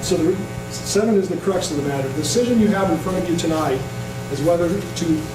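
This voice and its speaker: A man giving a formal, explanatory presentation to a meeting as a program representative, talking through slides and figures in a measured way. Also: on the high side. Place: echoey indoor meeting room. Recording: roomy, distant mic, noisy with hum.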